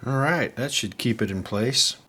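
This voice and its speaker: A man's voice: mid-range voice, moderate pace, pushed and bright, husky. A man narrating a hands-on repair casually, thinking aloud as he works. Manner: thinking aloud while working. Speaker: hobbyist radio repairer.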